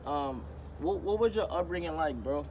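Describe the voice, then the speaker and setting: A man's voice: a little high, animated, normal speed, a little mellow, poor audio. A man interviewing a guest in a casual, friendly way, full of slang like dope and bro. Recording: outdoor traffic noise.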